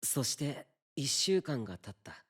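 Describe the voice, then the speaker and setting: Courteous, composed young anime hero. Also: male voice, mid-range voice, big pitch swings, measured pace, a bit bright, breathy edge, exaggerated voice. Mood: calm. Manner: courteous, reserved, understated. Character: polite, composed, modest young male hero. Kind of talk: Japanese anime audio drama acting and narration.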